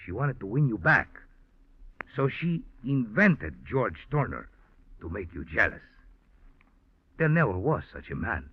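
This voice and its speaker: An actor playing a solemn, accusing Mexican police lieutenant in 1940s radio style, with a Mexican accent and deliberate, formal speech. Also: male voice, lowish voice, big pitch swings, a little hiss or hum. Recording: muffled vintage radio recording with music.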